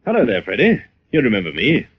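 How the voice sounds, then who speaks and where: An actor playing a suave, assured gentleman sleuth in a 1940s style radio drama. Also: medium pitch, wildly swooping pitch, fast, husky. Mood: concerned. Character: calm, confident, suave gentleman amateur sleuth. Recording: muffled, hissy old radio recording.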